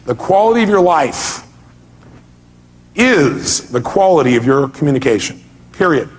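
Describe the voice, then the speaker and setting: High-pitched man giving a motivational seminar. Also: very animated, measured pace. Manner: emphatic, asks rhetorical questions and often answers them himself. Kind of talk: live motivational seminar talk with questions to the audience.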